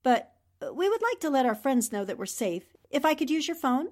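A woman playing a composed, shrewd newspaperwoman in a 1940s-style radio drama, speaking in a polite, measured way. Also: high-pitched, sing-song, darkish tone. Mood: courteous, probing.

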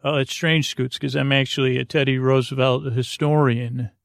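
A man with a gravelly, fry-laden voice at a middling pitch hosts a bedtime podcast. He improvises in a hesitant, meandering way, drifting from one tangent to the next. The sound is close and dry, with no room around it. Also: level tone, moderate pace, no room sound. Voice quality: gravelly, creaky. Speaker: podcast host. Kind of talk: rambling improvised bedtime monologue.

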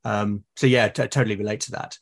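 A man's voice: fairly low pitch, fairly even intonation, quick pace, creaky. A British man speaking as a podcast guest, explaining things earnestly in casual conversation. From Britain.

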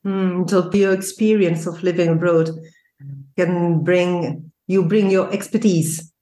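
A woman hosting a podcast, reading a measured, formal introduction before interviewing her guest. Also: fairly low pitch, steady tone, warm tone. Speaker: podcast host. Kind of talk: scripted intro then interview. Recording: roomy echo.

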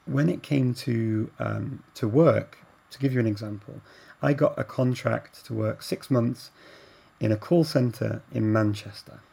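A man, a guest telling stories in a relaxed conversation, getting animated during anecdotes. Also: mid-range voice, a little slow, gravelly, slightly rough. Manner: animated when telling anecdotes, laughs while talking. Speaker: guest, language learner. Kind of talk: conversational storytelling.

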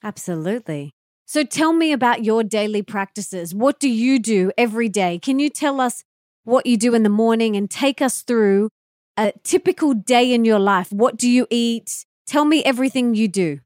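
Female interviewer, fairly high pitch, conversational questioning style, dry recording. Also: animated, moderate pace, darkish tone, dry recording. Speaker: podcast host interviewing a guest.

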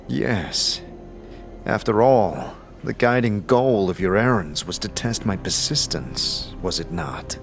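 A man plays an earnest wanderer hungry to learn magic in a fantasy audio drama. He is thoughtful and formal, his voice somewhat low. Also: animated, slow, soft background music. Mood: probing.

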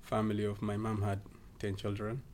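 A man from southern Ethiopia, a guest in a podcast interview, talking casually with many pauses and fillers. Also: on the low side, monotone, a little mellow, a little hiss or hum. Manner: hesitant, many fillers and restarts.

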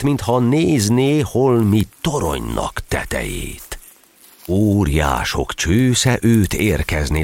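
A man narrating a verse audio drama as a dramatic storyteller, theatrical and expressive. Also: deep voice, vocal fry. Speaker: narrator and actor.

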